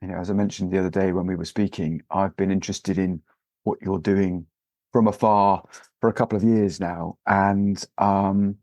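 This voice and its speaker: A man hosting a podcast interview, talking gently with many pauses and fillers. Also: low voice, steady tone, no echo. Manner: hesitant, many fillers and restarts. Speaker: podcast host. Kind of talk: relaxed interview with scripted intro.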